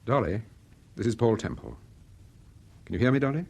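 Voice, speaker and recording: A man playing a gentleman detective in a radio drama, courteous, calm and probing. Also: on the low side, normal speed, gravelly, slight background noise. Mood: gentle, reassuring.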